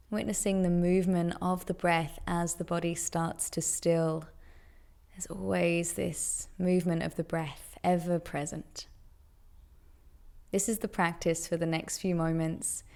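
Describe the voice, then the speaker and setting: A woman leading a guided meditation with gentle, deliberate instruction in long, unhurried phrases. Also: on the low side, vocal fry.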